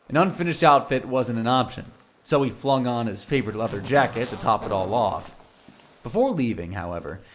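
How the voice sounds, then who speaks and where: A man's voice: medium pitch, slight background noise. A man narrating a scripted audio drama in a literary storytelling style.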